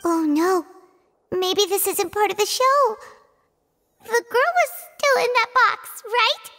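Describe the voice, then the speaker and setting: A woman voicing a tiny, naive, childlike fairy companion in a video game, with squeaky, earnest, exclamatory delivery. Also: takes it slowly, bright, forceful tone, long reverb tail, soft background music, exaggerated voice. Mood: worried. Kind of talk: animated video game acting.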